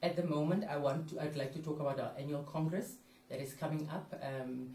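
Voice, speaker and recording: A man being interviewed about his movement's upcoming events, speaking in a brisk, promotional way. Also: a little high, steady tone, dark tone, roomy sound, far from the mic, slight background noise. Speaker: interview guest, movement leader.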